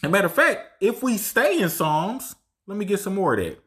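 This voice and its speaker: A man teaching scripture in an informal, emphatic way, reading verses aloud slowly and often checking in with "right?". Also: high-pitched, sing-song, a bit bright. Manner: emphatic, tags lines with "right?". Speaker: Bible teacher.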